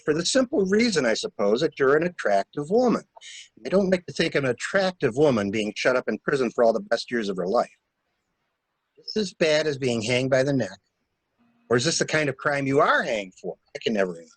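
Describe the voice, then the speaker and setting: A man's voice: mid-range voice, very animated, fairly quick, breathy edge, no echo, poor audio. A man playing a cool, insistent stranger in an amateur dramatic reading of a murder mystery. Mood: wry, reasoning. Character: cool, insistent male stranger scheming to shield a suspect. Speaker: library staff reader.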